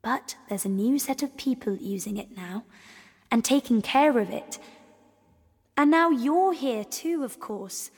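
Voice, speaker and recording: A woman playing the wistful ghost of a young girl, narrating gently and reflectively in an audio drama. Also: a little high, animated, a little slow, husky, full character voice. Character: wistful ghost of a young girl lingering in her old house. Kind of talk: scripted audio drama monologue. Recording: long cavernous reverb.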